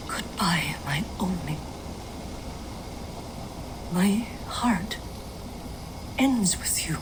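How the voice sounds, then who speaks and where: An actress playing a grieving Norse widow in a game, speaking in a hushed, whispering farewell. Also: female voice, medium pitch, background noise. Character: grieving Norse widow mourning her lover.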